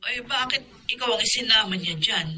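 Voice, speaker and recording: A woman playing a gentle, anxious wife and mother in a concerned Filipino radio drama delivery. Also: a little high, brisk, a little hiss or hum. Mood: worried. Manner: explanatory, concerned.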